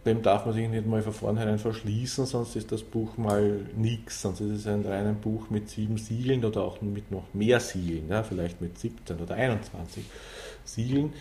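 A man giving a thoughtful interview answer about literature, deliberately searching for his words. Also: lowish voice, fairly even intonation, dark tone. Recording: noisy ambient background.

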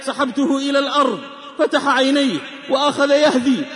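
High-pitched man preaching in long reverb. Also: a little fast, a bit bright. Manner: solemn, emotive, declamatory. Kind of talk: dramatic sermon with storytelling, rhymed exhortations and verse. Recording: long reverberant hall-like echo on voice.

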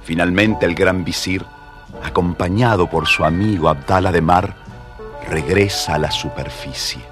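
A man with an Argentine accent narrating a scripted children's story with expressive storyteller phrasing. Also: sits low, a little slow, music in the background.

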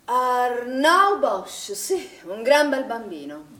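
An actress playing a firm, defensive director of a swimming pool in a naturalistic stage drama, speaking formally. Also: female voice, a little high, very animated, extremely slow, fairly bright tone, full character voice. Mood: indignant, probing. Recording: roomy, distant stage recording with noise.

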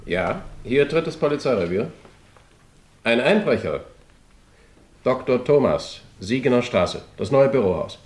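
Echoing, lively young policeman. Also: mid-range voice. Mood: alert, urgent. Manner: brisk, obedient, official. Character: alert young male police officer on telephone duty. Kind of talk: vintage radio drama acting.

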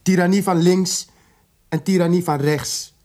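An actor playing an educated, indignant South African radical in a radio drama, articulate and emphatic, repeating words for weight. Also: male voice, sits high, steady tone, measured pace, a little mellow. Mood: solemn, impassioned.

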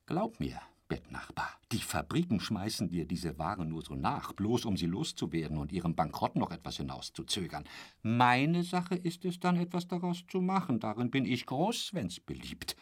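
An actor in a German radio drama playing a garrulous, boastful travelling salesman who rambles chummily and corrects himself, sometimes dropping to a breathy murmur. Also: on the low side, very animated, normal speed. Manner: chatty, rambling storyteller with a pet polite phrase, correcting himself.

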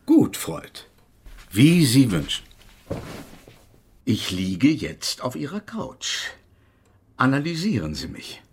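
A haughty, eccentric physics professor in a radio drama. His husky voice rises and falls in animated sweeps. He explains things with pedantic precision and an exasperated edge. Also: mid-range voice, slow, pauses often, heavily acted. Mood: dryly compliant. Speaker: actor in a radio play. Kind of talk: German radio drama acting.

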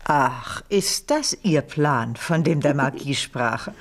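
A woman's voice: a bass voice, expressive, slow pace, full character voice. A woman playing a proud, shrewd aristocratic lady in a German radio play, imperious and sardonic in manner. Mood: indignant, scornful.

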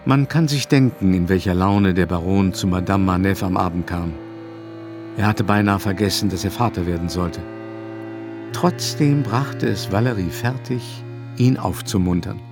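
A man narrating a literary radio drama in a measured, articulate storytelling style. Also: deep voice, music in the background.

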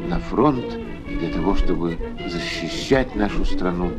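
Expressive man narrating solemnly over music. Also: low voice, a little slow. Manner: dramatic, rhetorical, emphatic. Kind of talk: solemn scripted documentary narration for children.

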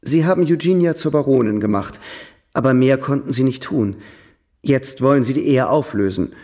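An actor playing a charming, worldly young European gentleman in a radio drama, polite, effusive and playfully theatrical. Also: medium pitch, moderate pace, natural voice. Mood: confiding, amused.

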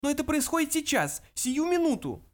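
A flustered young space adventurer, voiced by a male reader, a little high in pitch. He is flippant yet hesitant and fidgety, pleading his way through a storybook scene. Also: lively intonation, fairly quick, lightly acted. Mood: frantic.

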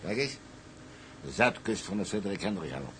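An actor playing a boastful, wily older tugboat skipper with a salty, colloquial way of telling things. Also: male voice, low voice, expressive, a little fast, a bit bright, a little hiss or hum. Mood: scheming, insistent. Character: wily, boastful old colonial tugboat skipper, a persuasive schemer. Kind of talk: dramatised dialogue, storytelling in character.

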